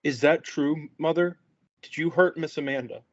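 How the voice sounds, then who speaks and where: Reader as sheltered son, asks haltingly, mid pitch, lo-fi. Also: lightly acted. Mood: questioning. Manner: halting, questioning. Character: sheltered, confused young son, childlike. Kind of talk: amateur table read. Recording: dull lo-fi recording.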